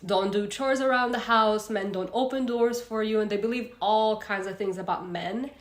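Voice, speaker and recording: A chatty young woman from Egypt hosts a casual self-help livestream in a room with a noticeable echo. Her pitch sits at an ordinary middle level, and her talk is loose and conversational, full of fillers and restarts. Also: moderate pace. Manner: chatty, reads out viewer comments, frequent fillers. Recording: roomy echo.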